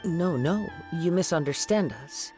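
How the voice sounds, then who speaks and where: A man voicing a calm, courteous young investigator in an anime game, polite and composed, with music behind. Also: on the high side, measured pace, close to an everyday voice. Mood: polite, reassuring.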